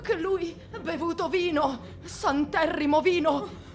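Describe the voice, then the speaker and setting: An actress playing an excitable, doting but stern old spinster in theatrical, stylized radio drama, swooping between coos and cries. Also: female voice, very high-pitched, measured pace. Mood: scandalized.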